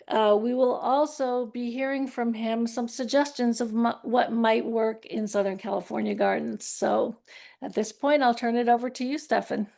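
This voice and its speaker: A woman's voice: on the high side, vocal fry, darkish tone. A woman on the society's board introducing a guest speaker with enthusiasm over a video call. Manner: enthusiastic, admiring. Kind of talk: event introduction.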